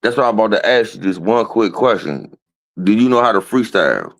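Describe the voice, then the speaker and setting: A man chatting casually as a guest on a livestream, excitable, repeating words and swearing freely. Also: fairly low pitch, fairly quick, creaky. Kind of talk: casual chat.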